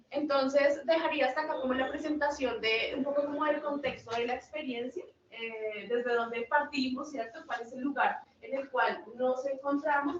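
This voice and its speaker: Woman presenting at a seminar, pretty high voice, echoey muffled sound. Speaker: seminar speaker. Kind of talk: semi-formal presentation. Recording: echoey, distant muffled mic, faint noise.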